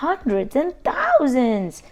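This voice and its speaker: A woman voicing a curious, outspoken little girl pig from a children's storybook, expressive and exclaiming. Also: high-pitched, slow pace, voice pushed up, exaggerated voice. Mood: excited.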